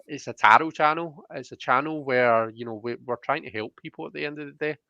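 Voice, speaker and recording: A man's voice: medium pitch, a little fast, creaky, bright, forceful tone, dry recording, highs cut off. A man giving a conversational tarot reading, explaining each point in a reflective, searching way. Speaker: tarot reader.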